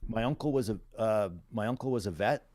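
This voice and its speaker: A man's voice: on the low side, fairly quick, darkish tone. A middle-aged man from Calgary, a musician guest, telling stories in a folksy, plain-spoken way. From Calgary, Alberta, Canada. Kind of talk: casual interview storytelling.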